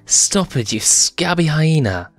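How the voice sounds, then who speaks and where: A man's voice: fairly high pitch, sing-song, slow pace, no room sound, soft background music, pitched above the performer's own voice, exaggerated voice. A man voicing a feisty young female lion cub in an amateur comic dub, with a scolding, emphatic manner. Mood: annoyed, angry. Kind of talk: amateur comic fandub acting.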